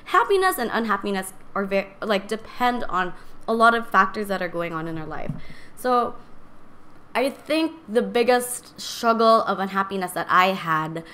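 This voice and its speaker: Young woman with a raspy, cracking voice. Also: medium pitch, lively intonation, moderate pace, pushed and bright. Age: 20s. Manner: candid, rambling, chatty. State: strained voice from singing and talking too much. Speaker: podcast host. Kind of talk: casual personal talk to live stream viewers.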